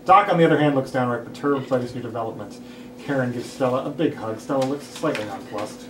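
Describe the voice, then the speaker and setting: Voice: medium pitch, fast talker, slight background noise. A person reading stage directions aloud at a script table read. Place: room with cast gathered around a table read. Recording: roomy, distant mic, people in background.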